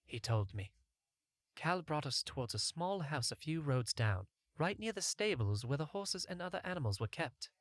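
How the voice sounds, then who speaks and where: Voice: mid-range voice, very animated, normal speed, dry recording. Audiobook narrator telling a first-person story, dry sound.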